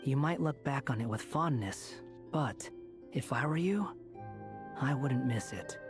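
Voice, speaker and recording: A man playing a calm, reserved young traveller in a video game, matter-of-fact and reflective. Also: mid-range voice, measured pace, lightly acted. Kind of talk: video game drama acting. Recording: loud music behind the voice. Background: music.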